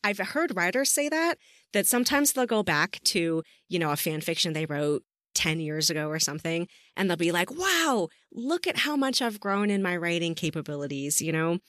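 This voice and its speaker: A woman's voice: on the low side, big pitch swings, moderate pace, a bit bright, no echo, clean studio sound. A woman hosting a podcast interview in a casual, effusive and supportive way. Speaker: podcast host.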